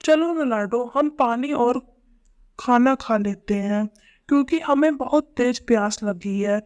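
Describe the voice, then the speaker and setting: A woman's voice: fairly high pitch, a little slow, a little mellow, clean tone, voice pushed down, close to an everyday voice. A woman voicing a selfish, grumbling male crow in a children's cartoon story. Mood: pleading.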